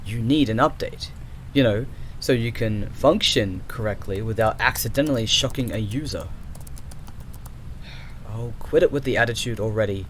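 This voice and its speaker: A man's voice: lowish voice, normal speed, lightly acted. Man acting an exasperated AI programmer, noisy backdrop. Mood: irritated, impatient. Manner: exasperated, sighing, sarcastic. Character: exasperated male creator and programmer of an archive AI. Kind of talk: audio roleplay acting.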